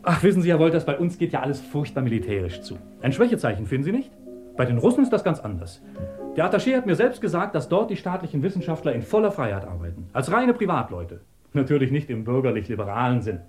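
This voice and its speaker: A man's voice: on the high side, sing-song, fast, a little mellow, faint music behind. An actor playing an eager, talkative male assistant in a German radio play, chatty and ingratiating. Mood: chatty, opinionated. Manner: chatty, ingratiating, deferential to his superior. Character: eager, talkative pro-Soviet male assistant at a research institute.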